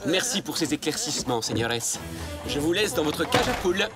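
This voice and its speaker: An actor playing a dashing young masked hero in a cartoon, self-assured and teasing, with a playful theatrical manner. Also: male voice, a little high, big pitch swings, a little fast, over loud music. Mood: mocking, confident. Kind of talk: animated cartoon dub.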